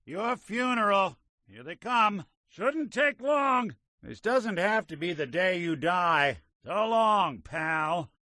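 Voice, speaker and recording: A man's voice: high voice, expressive, takes it slowly, bright, forceful tone, no echo, exaggerated voice. An actor voicing a world-weary male robot detective in a game, talking in dry, hard-boiled wisecracks. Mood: combat taunts. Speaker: game companion character. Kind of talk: video game voice acting.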